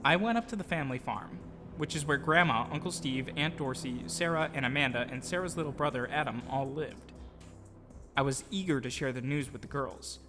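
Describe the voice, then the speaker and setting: A man's voice: medium pitch, fairly quick, sharp tone, soft background music. A man telling his own story as scripted audio drama narration, performing as the storyteller. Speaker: storyteller acting as himself.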